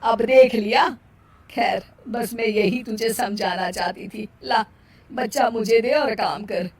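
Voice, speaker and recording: A woman's voice: a little high, takes it slowly. A woman voicing a weary older mother-in-law in a cartoon moral story, complaining in a sardonic, plain-spoken way. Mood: scolding.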